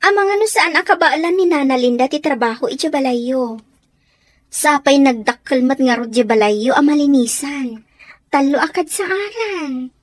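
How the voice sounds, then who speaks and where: A woman's voice: high-pitched, normal speed, no echo, natural voice. A woman playing a shy, respectful young housemaid in an Ilocano radio drama. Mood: respectful, concerned.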